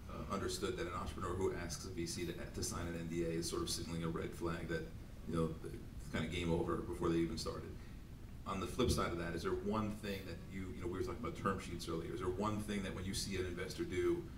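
A man moderating an onstage panel discussion, asking quick, loosely phrased questions into a mic. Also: sits low, little pitch movement, noisy background. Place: stage in a large event hall. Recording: roomy hall audio from a far camera.

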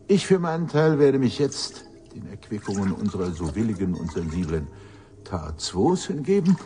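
An actor in a German radio drama playing an eloquent, pompous professor with formal, theatrical delivery. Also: male voice, fairly low pitch, very animated, normal speed, dark tone, a little hiss or hum. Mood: smug, ironic. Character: eloquent, pompous male professor of extraterrestrial research.

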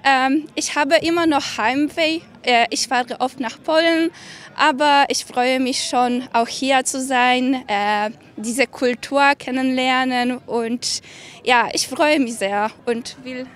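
Young polish woman talking slowly outside, high voice, lots of ums. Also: steady tone, a bit bright. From Poland. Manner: hesitant with fillers. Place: outdoors.

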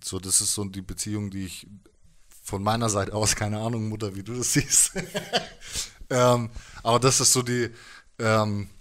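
Low-voiced man, halting and reflective. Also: fairly bright tone. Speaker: musician being interviewed. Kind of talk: casual interview talk. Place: living room with instruments, indoors. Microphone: handheld microphone held close.

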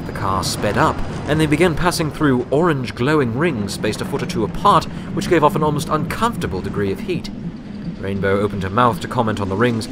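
Low-voiced man narrating a story. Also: background noise. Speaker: audiobook narrator.

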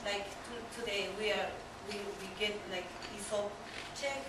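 A woman's voice: mid-range voice, fairly even intonation. Young woman, Peruvian Spanish accent, informal talk in hesitant English, picked up from afar in a noisy small meeting room. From Peru. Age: young adult. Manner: halting, searching for words in non-native English. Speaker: exchange trainee giving a talk. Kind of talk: informal presentation to colleagues. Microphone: far camera microphone. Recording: distant, very echoey room, clear background noise.

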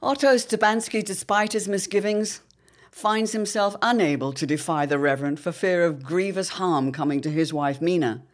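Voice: medium pitch, moderate pace. A narrator with a formal storytelling delivery. The reading is scripted and dramatic, suited to a serialized period audio drama.

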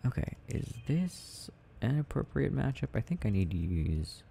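A young adult man rambling softly and casually in a quiet, sleepy murmur as he plays a game, in an ASMR style. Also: medium pitch, very animated, moderate pace, gravelly. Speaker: audio roleplay creator. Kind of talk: soft casual rambling while gaming, ASMR sleep aid. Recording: faint background noise.

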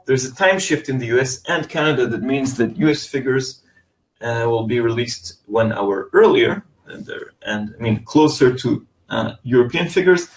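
A man's voice: medium pitch, normal speed, low-quality recording. A man in Europe giving an unscripted weekly currency market preview over slides, with frequent fillers and restarts. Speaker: market analyst.

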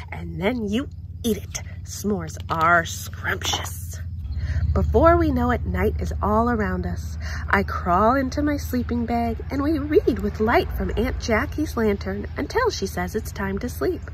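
A woman reading a children's picture book aloud in an expressive, deliberate storytelling manner. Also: on the high side, a little hiss or hum, poor audio. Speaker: story time reader.

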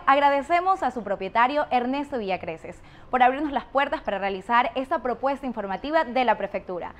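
A woman in her thirties hosting a regional TV program in a bright, welcoming announcer delivery from a restaurant set. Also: sits high. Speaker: TV program host. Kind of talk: scripted TV presenting. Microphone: desk microphone on stand.

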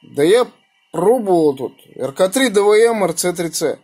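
A man with a fairly high, husky voice works as an amateur radio operator. He talks casually and colloquially, slipping between slang and spelled-out call signs. He is recorded on a phone in a small room. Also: lively intonation, normal speed, a little mellow. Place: small room indoors beside radio equipment. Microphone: phone held near the radio.

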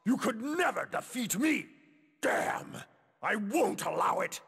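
Casting: menacing, disdainful fighting-game warrior. Husky, hugely animated pitch, grand haughty delivery. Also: male voice, slow pace, in a large hall, full character voice. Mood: angry taunting. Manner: haughty, declamatory, dramatic. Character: proud, menacing adult male warrior, disdainful of weaker foes. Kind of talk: video game fighting voice clips, theatrical.

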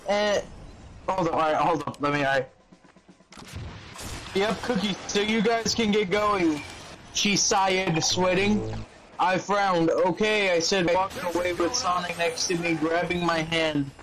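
A man doing a mocking dramatic reading of fan fiction, halting and stumbling over words, with casual sweary asides. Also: high voice, crowd noise, poor audio.

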